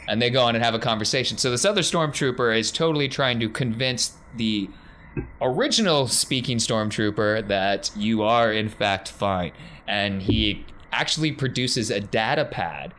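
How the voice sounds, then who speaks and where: A man's voice: lowish voice, lively intonation, moderate pace, a bit bright, a little hiss or hum. A man working as game master, narrating a tabletop game and talking through the rules off the cuff.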